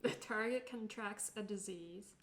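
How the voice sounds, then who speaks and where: A woman's voice: mid-range voice, measured pace, a bit bright. A woman reading out her character's options as a player in a tabletop game. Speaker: tabletop role-playing player.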